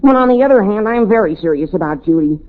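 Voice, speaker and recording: Earnest teen boy in love, boyish halting slangy talk, old radio comedy, really tinny. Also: high voice, lively intonation. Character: earnest, indignant lovesick high school boy. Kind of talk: 1940s radio comedy acting. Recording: thin, tinny old-time radio recording.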